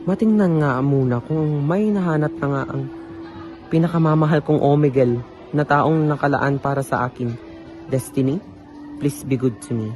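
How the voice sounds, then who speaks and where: A man's voice: a little high, normal speed, faint music behind, lightly acted. A young man playing a lonely eighteen-year-old student who thinks he is ugly, brooding in inner monologue. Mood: frustrated. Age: young adult. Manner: brooding inner monologue turning to excited chatter. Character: lonely 18-year-old male student, self-pitying, calls himself ugly. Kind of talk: amateur radio drama acting.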